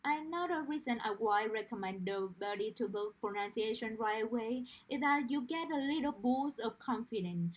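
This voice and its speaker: Woman, Romanian accent, slightly high voice, earnest pronunciation teacher talking to camera. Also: animated, normal speed, hardly any pauses, lo-fi sound. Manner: earnest, explanatory, uses everyday analogies. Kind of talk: direct-to-camera teaching monologue.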